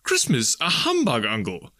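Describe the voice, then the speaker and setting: Man voicing a high, cheerful young gentleman. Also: big pitch swings, moderate pace, no room sound, studio quality. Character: cheerful, warm-hearted young gentleman, the miser's nephew. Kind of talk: dramatized storybook reading.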